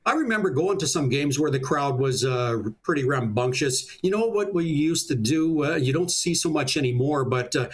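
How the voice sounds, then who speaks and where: A man's voice: a little high, lively intonation, normal speed, hardly any pauses, husky. A middle-aged Canadian man from small-town Saskatchewan, a public address announcer, chatting casually and reminiscing in an interview in a folksy way.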